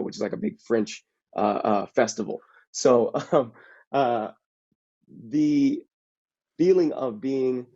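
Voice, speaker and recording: A man from the Bay Area talks things through on a panel, pausing often and feeling his way toward each point. His voice is mellow and warm, at an ordinary pitch. The video-call audio comes through dull and lo-fi. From San Francisco Bay Area. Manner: halting, reflective, searching for words. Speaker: capoeira practitioner and actor on a panel. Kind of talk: casual panel discussion.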